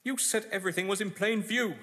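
An actor playing a haughty, condescending gentleman in an audio drama, with a patronising, clipped, theatrical delivery. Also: sits high, fast talker. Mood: furious. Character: haughty, condescending gentleman crime schemer under threat. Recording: reverberant hall-like room.

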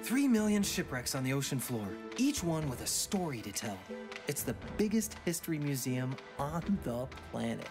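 An animated, ocean-loving scientist dad. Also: male voice, medium pitch, normal speed, music in the background. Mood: wistful wonder. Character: enthusiastic marine biologist father, awestruck by the ocean. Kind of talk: audio drama acting.

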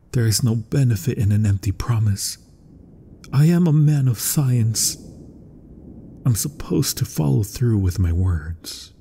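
A man playing a proud, obsessive mad scientist in a gothic horror ASMR roleplay, husky and breathy, speaking formally and theatrically and often dropping toward a whisper. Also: lowish voice, dark tone, a little hiss or hum. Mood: proud, measured.

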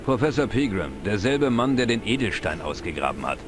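An actor playing an older, knowledgeable, wry pub regular in an adventure game, explaining things in a dry, measured way. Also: male voice, lowish voice, very animated. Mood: wry, informative. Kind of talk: dubbed adventure game dialogue. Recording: music behind the voice. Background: game music.

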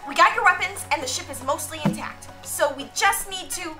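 A woman's voice: very high-pitched, brisk, music in the background. A woman playing a plucky, eager thirteen-year-old girl pickpocket, cheeky in a radio drama.

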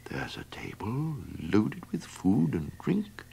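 A man's voice: mid-range voice, wildly swooping pitch, takes it slowly, a little mellow, heavily acted. A man voicing a friendly male donkey who coaxes the others along. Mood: whispering. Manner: friendly, encouraging and coaxing. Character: kindly male donkey, leader of the group.

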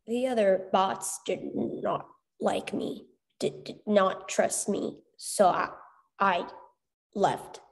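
A woman voicing a timid, glitchy female robot spider that speaks haltingly, word by word, with stuttered consonants. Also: medium pitch, creaky, poor audio. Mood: earnest, halting.

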